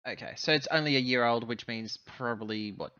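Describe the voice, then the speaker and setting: A man's voice: medium pitch, a little fast, bright, forceful tone, smooth, muffled recording. A man chatting casually as a player in a tabletop roleplaying game. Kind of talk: casual game chat and questions.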